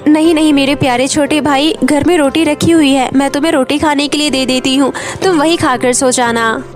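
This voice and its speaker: A woman's voice: high voice, fairly even intonation, normal speed, faint music behind, natural voice. A woman voicing a poor, caring orphaned elder sister in a cartoon story, earnest and tender. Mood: reassuring. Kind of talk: Hindi cartoon moral story.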